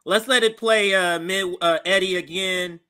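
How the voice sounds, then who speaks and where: An American man co-hosting a casual political show, talking in a halting, repetitive, wryly amused way. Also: sits high, steady tone, sharp tone. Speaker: co-host.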